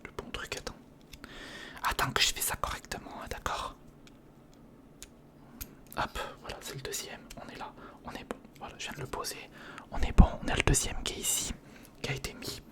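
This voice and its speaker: A man whispering in a breathy, unvoiced ASMR style, giving informal and slightly hesitant build-along commentary close to the microphone. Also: moderate pace, pauses often. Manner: hesitant, informal, muttering to the listener. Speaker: ASMR video host. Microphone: close recorder microphone.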